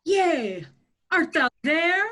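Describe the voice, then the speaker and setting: A woman's voice: very high voice, sing-song, extremely slow, pushed and bright, full character voice. A woman playing a hot-headed young male lover who challenges a rival, reading Shakespeare emphatically. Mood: angry, challenging. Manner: emphatic, declamatory. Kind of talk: Shakespeare table read in verse.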